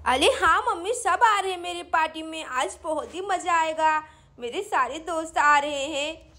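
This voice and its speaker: Woman voicing an eager, very high-pitched little girl. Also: moderate pace, pushed and bright, exaggerated voice. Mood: excited. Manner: eager, chatty, childlike. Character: excited little girl hosting her party.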